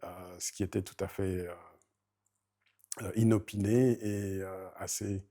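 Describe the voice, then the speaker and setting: A middle-aged man from Rwanda introducing himself, slowly and formally, with many hesitations. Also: low-pitched, steady tone, warm tone. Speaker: family member introducing himself. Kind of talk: halting spoken self-introduction.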